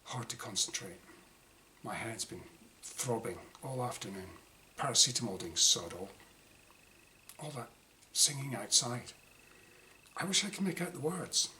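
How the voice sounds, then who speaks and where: A man reads a diary-style short story as its author, in a bright, forceful voice of medium pitch. He delivers it dramatically in clipped phrases with pauses. There is some noise behind him. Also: expressive. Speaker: author reading his own short story. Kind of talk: dramatic first-person short story reading, diary entries. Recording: clearly noisy room ambience.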